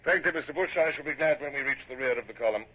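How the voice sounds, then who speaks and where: A man's voice: medium pitch, fast, sharp tone, lightly acted. A commanding British naval captain , acted by a man in an old radio play. He speaks formally and with clipped authority, yet with humane gravity. The recording is dull, with faint noise. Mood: grave, troubled. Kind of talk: radio drama acting and first-person narration.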